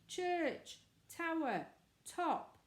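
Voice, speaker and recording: A woman's voice: very high-pitched, sing-song, extremely slow, pitched above the performer's own voice, exaggerated voice. Bossy, plucky schoolboy ringleader, played by a woman reader, urgent storybook manner, echoing room. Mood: shouting. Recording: echoey room.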